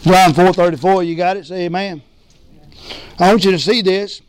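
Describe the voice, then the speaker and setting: A man preaching a sermon as pastor, hoarse from losing his voice, emphatic and calling for responses. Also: sits high, steady tone, normal speed, a little hiss or hum. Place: church sanctuary.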